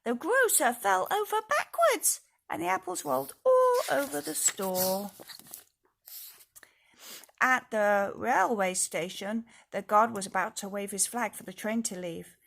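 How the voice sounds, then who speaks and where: A woman reading a children's storybook aloud in an expressive way, speaking directly to young listeners. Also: a little high, unhurried, gravelly, slight background noise. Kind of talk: storybook read-aloud with casual asides.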